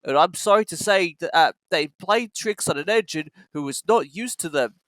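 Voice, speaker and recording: A children's storybook narrator with a bright, forceful voice and very lively intonation. The reading is scripted and sounds close and dry. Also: mid-range voice, normal speed, no room sound.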